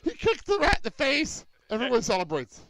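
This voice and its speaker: A man hosting a podcast, reacting in loud disbelief, exclaiming and laughing as he recaps a cartoon episode. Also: very high-pitched, wildly swooping pitch, brisk, vocal fry, heavy background noise. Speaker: podcast host. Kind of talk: casual podcast chat.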